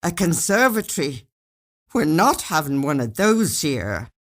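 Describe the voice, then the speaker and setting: A woman narrator voices a grumpy older husband from a storybook, a fussy gardener. The delivery is sarcastic and dismissive, with lively swings of pitch as the grumbles pile up. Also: measured pace, full character voice. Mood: indignant, complaining. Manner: dismissive, complaining.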